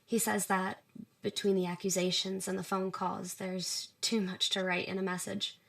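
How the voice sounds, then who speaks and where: An actress playing a considerate, protective woman, a loyal friend speaking gently and carefully in an audio drama. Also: mid-range voice, level tone, moderate pace, creaky. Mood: concerned.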